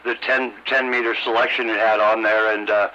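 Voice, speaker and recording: A middle-aged or older American man chatting casually as a radio hobbyist, halting and repeating his words, over a CB radio transmission. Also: fairly low pitch, flat delivery. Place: two-way CB radio conversation. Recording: dull, noisy CB radio transmission.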